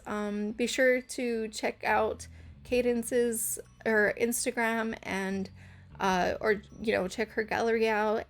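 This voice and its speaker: A young woman with a hoarse, scratchy voice, rambling through a casual gameplay voice-over with lots of fillers. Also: on the high side. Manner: rambling, hesitant, full of fillers. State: hoarse from karaoke. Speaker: channel host.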